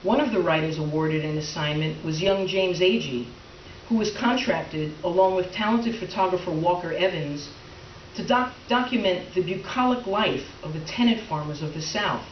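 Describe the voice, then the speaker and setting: A woman's voice: fairly low pitch, normal speed. A woman reading scripted narration as the introducer of a staged piece. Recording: echoey room, distant mic, dull, faint noise.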